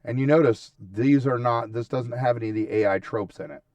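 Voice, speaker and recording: A man's voice: mid-range voice, brisk, darkish tone, no room sound, far from the mic, lo-fi sound. A man hosting a casual screen-share tutorial, talking through each step informally and often checking in with a short tag question. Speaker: tutorial host.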